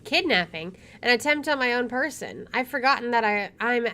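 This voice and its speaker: A woman's voice: a little high, expressive, moderate pace, bright, forceful tone. A woman streaming a visual novel, reading its text aloud and dropping in casual, wry asides. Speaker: video game streamer.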